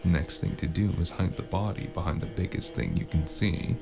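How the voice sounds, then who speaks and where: A man narrating a noir audio drama as the lead, dry and wry, with a deadpan manner, over a music score. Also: low-pitched, moderate pace, vocal fry. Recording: music score playing underneath.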